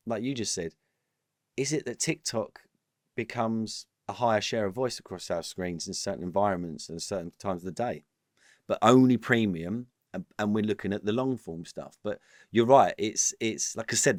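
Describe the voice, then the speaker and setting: A low-voiced man talks business on a podcast, animated and rambling, often restarting his sentences. The sound is close and dry, with no room around it. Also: normal speed, no room sound. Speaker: podcast guest, company executive. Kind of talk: casual business interview.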